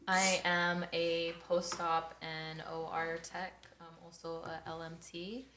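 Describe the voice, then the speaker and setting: A woman's voice: on the low side, level tone, slow pace, pushed and bright, roomy sound, a little hiss or hum. A woman in her thirties from Arizona, a podcast guest who introduces herself in a matter-of-fact way. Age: 30s. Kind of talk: casual chat.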